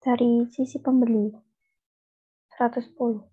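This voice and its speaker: A woman's voice: on the high side, little pitch movement, moderate pace, slightly rough. A woman, a student, giving brief answers to her lecturer on a muffled recording.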